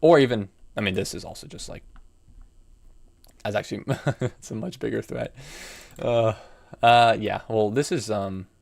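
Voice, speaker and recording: A man's voice: lowish voice, a little slow, vocal fry, pauses often, darkish tone. A young American man in his early twenties streaming chess, thinking aloud about his moves and answering chat in a casual, musing way. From United States. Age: young adult. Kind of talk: live commentary while playing chess.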